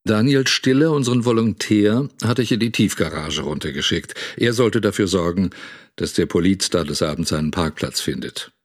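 Actor as very deep-voiced sardonic broadcast editor. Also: male voice, expressive, moderate pace. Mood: wry. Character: sardonic male broadcast editor giving his account. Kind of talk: radio drama narration.

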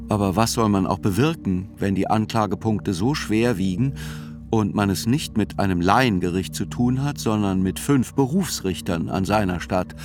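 Radio play narrator: actor as observant male court reporter, low register, measured literary delivery over soft music. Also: close to an everyday voice. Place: studio.

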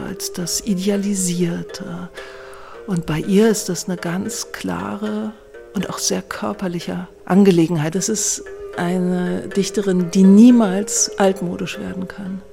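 A woman with a creaky voice giving enthusiastic, emphatic commentary in an unscripted interview. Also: lowish voice, fairly even intonation, unhurried, music in the background.

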